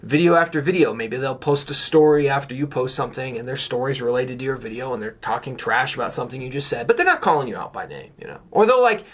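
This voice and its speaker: A slightly hoarse man giving a candid, earnest vlog monologue, speaking emphatically as someone a little under the weather. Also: medium pitch, expressive, fast, hardly any pauses, a bit bright. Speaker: YouTuber on real estate investing.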